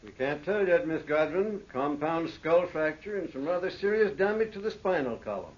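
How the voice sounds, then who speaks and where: An actor playing a measured, courteous doctor in an old-time radio drama. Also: male voice, a little high, lively intonation. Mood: clinical, courteous. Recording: dull vintage radio recording.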